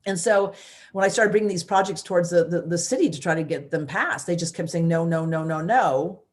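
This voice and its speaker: A woman in her fifties from the United States, a former mayor, telling her story in a loud, energetic way with many restarts. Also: fairly low pitch, fairly quick. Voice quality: loud voice, big personality. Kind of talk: casual interview storytelling.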